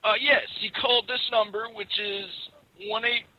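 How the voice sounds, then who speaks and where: A man's voice: sits high, fairly even intonation, moderate pace, pushed and bright. A man calling customer service to complain, talking in an earnest, conversational way. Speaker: caller making a complaint. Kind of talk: prank phone call in earnest conversational tone. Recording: phone call with faint background noise.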